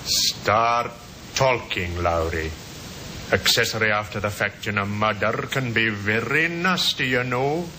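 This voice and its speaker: A man's voice: measured pace, sharp tone. A man playing a dogged police sergeant in a radio drama, terse, pressing and matter-of-fact. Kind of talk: 1950s radio drama acting. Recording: old noisy radio recording with rumble.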